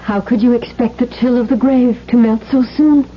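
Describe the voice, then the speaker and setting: Actress as a cold undead bride, muffled radio sound. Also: female voice, a little high, fairly even intonation, normal speed, a little hiss or hum, close to an everyday voice. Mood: cold, reproachful. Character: young bride risen from the grave, cold and reproachful. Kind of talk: 1970s American radio drama acting. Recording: dull 1970s radio broadcast with music.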